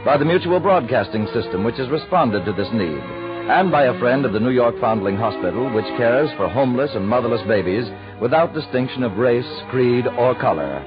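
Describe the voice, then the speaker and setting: A man's voice: medium pitch, animated, fairly quick. A man with an American accent doing scripted 1940s radio announcing. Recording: dull 1940s radio recording over music.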